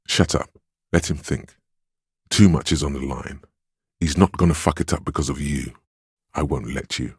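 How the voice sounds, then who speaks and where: A man playing the inner voice of willpower in a game, a stoic, protective conscience who speaks in a measured, firm and reassuring way with a deep gravelly husk. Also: dry recording, clean studio sound, heavily acted. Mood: stern. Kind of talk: dramatic game voice acting.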